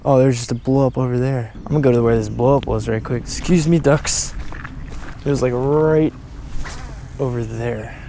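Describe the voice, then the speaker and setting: A man's voice: mid-range voice, normal speed. Young man vlogging outdoors while fishing. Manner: chatty and excitable, talks to the camera and to fish. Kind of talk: casual first-person commentary while fishing. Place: outdoors by a pond and dam. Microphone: head-mounted action camera. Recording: outdoor ambience, wind and water noise.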